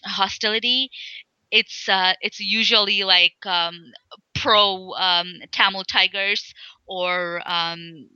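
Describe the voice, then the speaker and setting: A young woman from Sri Lanka, an interview guest speaking in a halting way with many fillers and restarts. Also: mid-range voice, steady tone, pushed and bright, smooth, dry recording, lo-fi sound. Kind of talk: casual interview talk.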